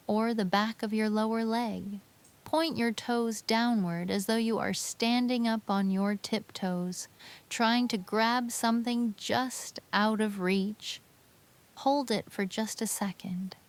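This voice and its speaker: A woman narrating a scripted guided sleep meditation, giving gentle, soothing, unhurried instructions. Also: fairly high pitch.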